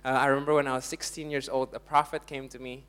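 A young man from Indonesia, preaching a lively, jokey sermon to a congregation and laughing often. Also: medium pitch, fast talker, clean tone.

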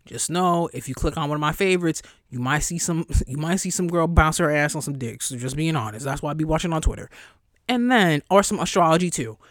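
A young woman hosting a solo podcast in a casual, chatty way, blunt and profane, her voice jumping high when she gets excited. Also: fairly quick, no echo, clean studio sound. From New York area. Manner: profane, blunt asides to listeners. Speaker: podcast host.

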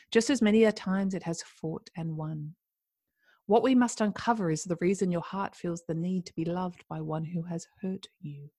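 Soothing whispery spirit guides, lady doing them, low voice, dry sound. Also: a little mellow, dry recording, natural voice. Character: gentle chorus of spirit guides, calm and wise.

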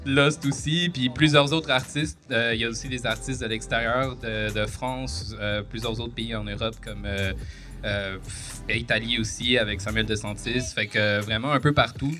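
Man from Montreal, label founder; warm thanks, loose rambling delivery, music under him. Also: mid-range voice, steady tone, moderate pace. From Montreal, Canada. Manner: friendly, grateful, rambling with fillers. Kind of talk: casual podcast chat.

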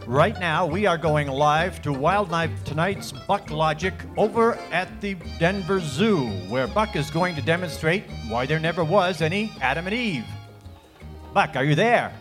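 A man's voice: on the high side, measured pace, over music, close to an everyday voice. A man playing an earnest, urgent host of a mock live nature program on radio. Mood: urgent, dramatic. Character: Doctor Oslo Norway, earnest urgent male nature-show anchor. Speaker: show host. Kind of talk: mock live broadcast announcing.

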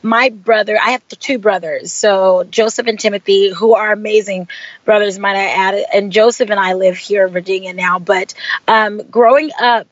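A young woman raised in Hawaii, answering interview questions in an earnest way with many pauses and ums. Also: mid-range voice, steady tone, no room sound, highs cut off. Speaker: guest segment producer.

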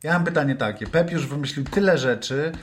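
A man chatting casually about football as a panellist, halting and repeating his words. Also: on the high side. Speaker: podcast panellist.

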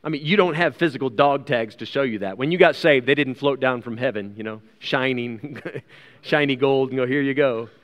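A man's voice: medium pitch, moderate pace, muffled recording. An American man preaching a sermon in a conversational way, with rhetorical questions and asides, reading from scripture. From United States. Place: large reverberant room, preaching to a congregation. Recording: long hall reverb.

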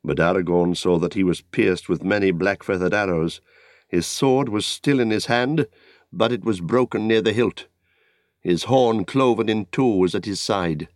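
A man narrating an epic story as an audiobook, in a dramatic, solemn and measured literary style. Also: on the low side, no room sound, clean studio sound. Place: dry studio.

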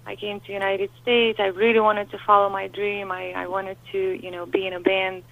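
A woman's voice: mid-range voice, normal speed, gravelly. Romanian-accented woman on a tinny phone line. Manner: candid, animated storytelling with frequent fillers. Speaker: lead singer and guest. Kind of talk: phone interview. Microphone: telephone.

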